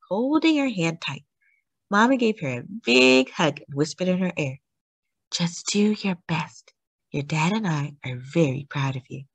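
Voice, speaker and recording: A woman reading a children's picture book aloud as a storyteller, with deliberate, dramatic emphasis on key words. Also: lowish voice, no echo. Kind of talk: expressive read-aloud narration for children.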